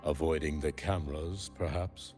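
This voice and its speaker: An actor voicing a suspicious, needling professor in a video game, measured, insinuating and condescending. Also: male voice, very deep voice, fairly even intonation, darkish tone, faint music behind. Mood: cold, accusing. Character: suspicious, needling male academy professor, coldly probing.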